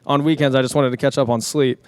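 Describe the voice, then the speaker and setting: Laid-back young man, smooth clear voice. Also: medium pitch, steady tone, fast, a little mellow, no echo. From San Diego area, California. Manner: laid-back, rambling with many fillers and laughs. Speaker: guest DJ and producer. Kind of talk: casual podcast chat.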